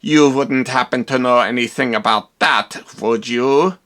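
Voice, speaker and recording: A man voicing a stern, stiff male police inspector who talks slowly and officiously. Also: medium pitch, a bit bright, lightly acted.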